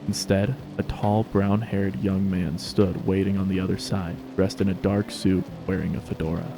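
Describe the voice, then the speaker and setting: A man's voice: deep voice, little pitch movement, normal speed, background noise. A man narrating a story as a scripted dramatic reading.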